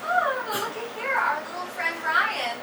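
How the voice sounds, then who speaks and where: A snobbish, mocking teenage girl, acted by a woman in a musical's staged reading. Her voice is high and devious, heard far from the mic in an echoey room. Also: big pitch swings, unhurried, heavily acted. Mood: haughty. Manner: haughty, mocking. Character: snobbish teen mean girl, devious and condescending. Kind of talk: stage musical read aloud. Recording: distant mic, echoey room, loud hum.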